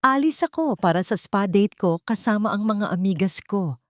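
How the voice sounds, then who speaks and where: A woman's voice: medium pitch, animated, moderate pace, no room sound, clean studio sound, pitched above the performer's own voice, close to an everyday voice. A woman voicing a cold, haughty rich wife who gives contemptuous commands in an audio story. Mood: cold contempt. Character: cold, haughty rich wife bullying her mother-in-law.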